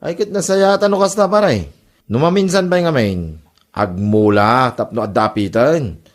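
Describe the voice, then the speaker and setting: A man's voice: medium pitch, measured pace, natural voice. A man with an Ilocano accent playing a teasing buddy who chides his friend in a comedy radio drama. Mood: teasing advice.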